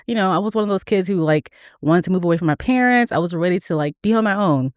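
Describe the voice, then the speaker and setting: A woman's voice: fairly low pitch, no room sound, studio quality. A woman in her forties, a food influencer guest chatting bubbly and easily in a podcast interview. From Memphis, raised in Atlanta and Germany. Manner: bubbly, quick and chatty with frequent laughs.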